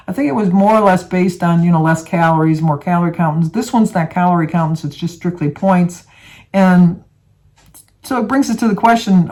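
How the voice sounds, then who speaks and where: Older woman in her seventies, rambling casual vlog talk, fairly low voice. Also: a little mellow. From United States. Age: 70s. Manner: chatty, rambling, many fillers and restarts. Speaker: vlogger.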